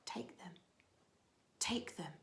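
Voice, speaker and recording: A hushed storybook cave echo, acted by a woman. It repeats words softly and eerily in a breathy whisper, falling away as it goes. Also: takes it slowly. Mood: eerie, hushed.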